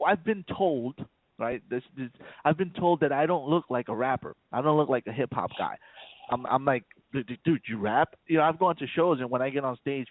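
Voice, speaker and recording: A man's voice: mid-range voice, no room sound. A man from the South Bronx, a rapper speaking as a guest in a casual radio interview, repeating words and leaning on "you know". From South Bronx, New York. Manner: stammering repeats, many "you know" fillers. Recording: tinny phone line, dry.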